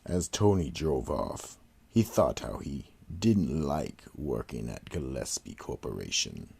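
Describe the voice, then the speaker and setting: The gravelly Keeper of the Vault. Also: male voice, big pitch swings, darkish tone, exaggerated voice. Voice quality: deep gravelly rasp. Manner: slow, ominous, drawn-out delivery. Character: sinister male Keeper of the Vault, brooding. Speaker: horror anthology narrator. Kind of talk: 1940s-style radio horror narration.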